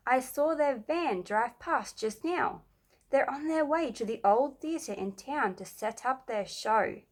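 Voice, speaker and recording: A woman voicing an excitable, bossy schoolgirl in a children's storybook, emphatic and dramatic. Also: high-pitched, takes it slowly, pitched above the performer's own voice, heavily acted. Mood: excited, impatient.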